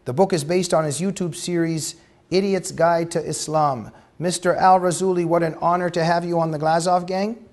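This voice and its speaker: A man hosting a studio talk show, opening with a formal, measured, scripted introduction of his guest. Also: a little high, steady tone, a little mellow. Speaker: show host.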